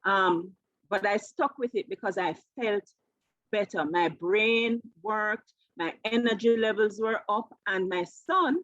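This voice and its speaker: A woman's voice: mid-range voice, a little slow, fairly bright tone, no room sound, lo-fi sound. A woman in her fifties with a Jamaican accent, telling her personal story conversationally as an interview guest. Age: 50s. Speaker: interview guest, keto coach.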